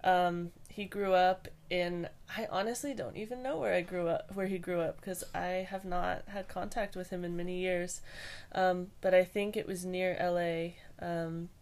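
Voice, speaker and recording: A young woman from Hawaii, a podcast guest answering interview questions in a hesitant, thoughtful way with many pauses and restarts. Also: fairly low pitch, fairly even intonation, a little mellow.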